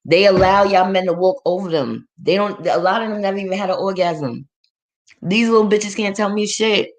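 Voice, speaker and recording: A woman with a fairly deep voice, speaking. She hosts a livestream and reads the chat aloud. Her talk is blunt and profane, with frequent laughter, and her pitch sits in a middle range. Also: moderate pace. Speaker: livestream host reading chat. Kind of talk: casual livestream rant.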